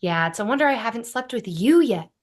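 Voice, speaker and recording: A woman's voice: fairly high pitch, expressive, brisk. A woman reading the part of an exasperated, blunt young roommate in a sitcom script, with emphatic, sardonic delivery. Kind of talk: sitcom script table read.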